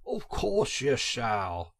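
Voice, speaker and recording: A man voicing a kindly, fussing gentleman motorist in a storybook reading, with a solicitous, genteel manner. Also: medium pitch, wildly swooping pitch, drawn-out words, full character voice. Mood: cheerful.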